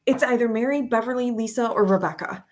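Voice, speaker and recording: A woman's voice: fairly high pitch, fast, close to an everyday voice. A woman playing a caring, anxious young friend on a rescue, speaking earnestly and urgently in a screenplay table read.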